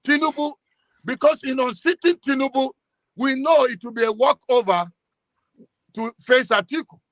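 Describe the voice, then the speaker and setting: A high-voiced man with a Nigerian accent gives political commentary in a live discussion. He speaks emphatically, with stops and repetitions, and his sound is close and dry. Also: moderate pace, fairly bright tone, no room sound, low-quality recording.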